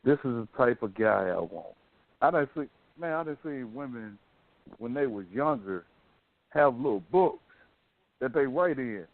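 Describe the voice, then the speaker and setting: A man's voice: medium pitch, animated, measured pace, creaky. A man hosting a radio talk show in casual, colloquial, opinionated chat, telling things like stories. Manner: colloquial, storytelling. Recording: thin phone-like band-limited sound.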